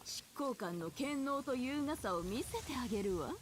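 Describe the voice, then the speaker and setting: A woman acting a haughty game villainess, swooping high voice. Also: animated, quick pace, slight background noise, natural voice. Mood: mocking, amused. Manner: imperious, mocking, theatrical. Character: haughty, cruel villainess, elegant powerful executor. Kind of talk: Japanese video game voice acting. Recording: game audio under loud music. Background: loud game music.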